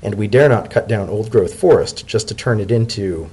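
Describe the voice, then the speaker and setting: A man's voice: fairly low pitch, moderate pace, warm tone, slightly rough. A man reading the part of a male pony government secretary in a storybook tale, polite, earnest, formal and deferential. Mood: earnest, candid.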